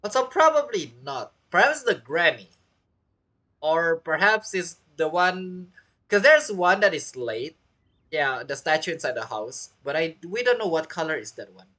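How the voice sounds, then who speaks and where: A woman's voice: on the low side, big pitch swings, measured pace, fairly bright tone. A woman giving casual, playful commentary on a video game as she plays, reacting aloud to the characters. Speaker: game streamer.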